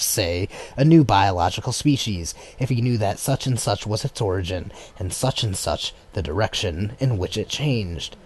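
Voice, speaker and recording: A man narrating a political text as an audiobook in a measured, formal manner. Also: on the low side, a little mellow. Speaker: audiobook reader.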